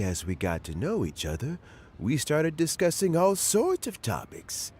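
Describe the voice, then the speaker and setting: An actor playing a kindly older man close to retirement, gentle and reminiscing, chuckling often. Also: fairly low pitch, heavily acted. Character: kindly old man near retirement, fond of fishing. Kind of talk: game voice acting.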